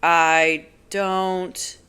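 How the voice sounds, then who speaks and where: A woman voicing a furious young man in an audiobook, loud and heated as he demands answers. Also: on the low side, little pitch movement, very slow, clear, resonant tone, heavily acted. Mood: demanding.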